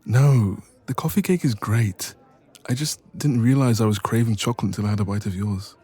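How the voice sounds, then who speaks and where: A man's voice: lowish voice, a little fast, slightly rough. A man playing a playful, teasing young best friend in a romantic roleplay audio, chatting casually and intimately. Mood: warm, chatty. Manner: playful, teasing, expressive, soft laughs.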